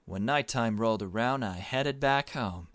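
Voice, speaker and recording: A man's voice: mid-range voice, normal speed, fairly bright tone, no room sound, natural voice. A man reading the part of a chatty, morbid young girl who narrates a visual novel. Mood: subdued.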